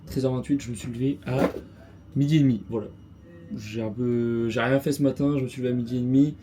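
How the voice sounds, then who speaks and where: A man's voice: medium pitch, steady tone, fast. Brief: Chatty male travel vlogger, loose and slangy, street noise around a handheld camera mic. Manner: loose, slangy and self-mocking, with frequent fillers. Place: mostly outdoors in sunny, busy city streets. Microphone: small handheld gimbal camera mic.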